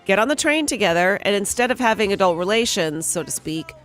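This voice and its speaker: A woman's voice: mid-range voice, expressive, a little fast, fairly bright tone, faint music behind. A woman hosting a radio morning show, telling strange news stories in casual banter with her co-hosts. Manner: storytelling, reads out odd news items with jokes. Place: radio studio. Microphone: studio microphone.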